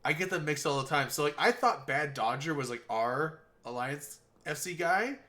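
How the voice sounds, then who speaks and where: Guy on a gaming podcast, bright forceful voice, rambles and cusses, trails off a lot. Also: a little high, normal speed. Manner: rambling, swears casually, trails off. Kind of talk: casual gaming chat.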